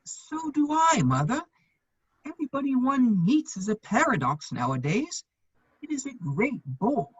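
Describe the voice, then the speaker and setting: A woman playing a witty, idle gentleman bachelor in his thirties, arch and drily polite, in a period comedy reading. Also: a little high, big pitch swings, a little slow, husky, exaggerated voice. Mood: flippant. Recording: dull, echoey, lo-fi room sound.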